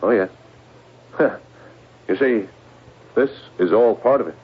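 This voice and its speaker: Agitated paranoid agent, narrow old-radio sound. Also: male voice, fairly low pitch, slightly rough. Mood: earnest, confiding. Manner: intense, insistent, dramatic, halting. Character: agitated, paranoid middle-aged intelligence agent convinced of doom. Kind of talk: 1970s radio drama acting. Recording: muffled, noisy old radio broadcast.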